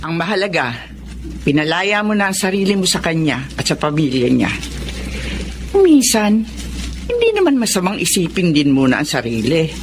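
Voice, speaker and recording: A woman's voice: lowish voice, very animated, normal speed, long pauses, background noise. A woman playing a kindly older confidante in a Filipino audio melodrama, reassuring and advising, with a Filipino accent. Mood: supportive. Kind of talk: Filipino radio-style melodrama acting.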